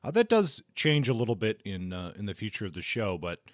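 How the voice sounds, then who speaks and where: A man hosting a podcast, reviewing things in a casual, conversational way with measured opinions. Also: on the low side, very animated, dry recording, clean studio sound. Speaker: podcast host.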